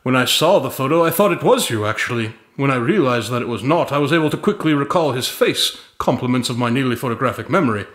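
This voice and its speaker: An actor playing a haughty, witty detective in an audio drama, speaking formally with precise, pompous diction. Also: male voice, medium pitch, expressive, fast talker, hardly any pauses, fairly bright tone, roomy sound, natural voice. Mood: smug, assured. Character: haughty, witty male detective, grandson of a famous sleuth.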